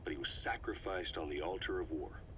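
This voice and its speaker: Brooding military intel officer for a video game, really low voice, dramatic pauses, dull tape recording. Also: male voice. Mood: somber, brooding. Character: grave male intelligence officer briefing his commander. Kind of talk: scripted briefing on a cassette tape, video game acting. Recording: muffled cassette tape with steady noise.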